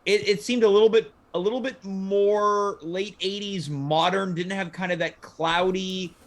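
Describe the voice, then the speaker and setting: A man's voice: high voice, steady tone, a little slow. A man, moderating a casual panel chat as the show's host. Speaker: talk show host.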